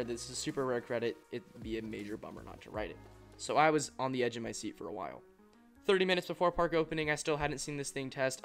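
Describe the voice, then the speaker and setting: A young man telling a travel story as a vlog voice-over, in an excited, storytelling way. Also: mid-range voice. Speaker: coaster vlogger. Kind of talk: voice-over narration. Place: voice-over, not at the park.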